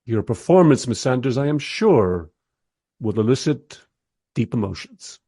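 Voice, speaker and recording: An actor in a radio play voicing a polite, dryly sceptical radio interviewer with a measured, understated manner. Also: male voice, mid-range voice, expressive, mellow, dry recording, clean studio sound. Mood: tactful, hesitant.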